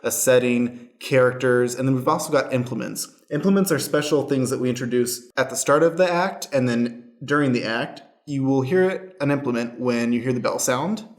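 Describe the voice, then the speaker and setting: A man's voice: mid-range voice, normal speed, hardly any pauses. Man hosting an improv audio show. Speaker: host and stage manager of an improv podcast. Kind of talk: friendly scripted-style show introduction and explanation.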